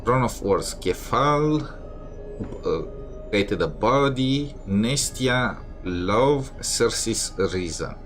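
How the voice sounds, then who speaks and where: A man's voice: medium pitch, expressive, extremely slow, fairly bright tone. A man giving casual gameplay commentary, reading bits aloud, with a dry, sardonic manner. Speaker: game streamer. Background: game music.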